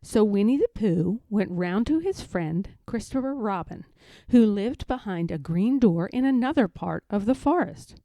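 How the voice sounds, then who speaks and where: A woman narrating a children's story aloud as an expressive storyteller. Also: medium pitch, unhurried, no echo.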